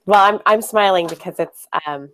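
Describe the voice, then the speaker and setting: A woman from a software team interviewing a user, explaining features patiently and asking friendly questions. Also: mid-range voice, moderate pace, a little hiss or hum, poor audio.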